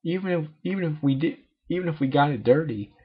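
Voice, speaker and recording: Cocky cartoon mare performed by a man, pitched up, offhand delivery, impatient, slightly muffled. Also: fast, slightly rough. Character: brash, cocky female cartoon pegasus pony.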